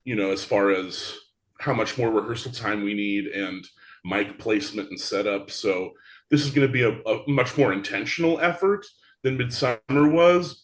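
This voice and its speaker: Man, guest in a podcast chat; lower voice, long-winded, restarts his sentences a lot. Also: fairly bright tone. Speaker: theatre creator guest. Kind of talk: casual interview chat.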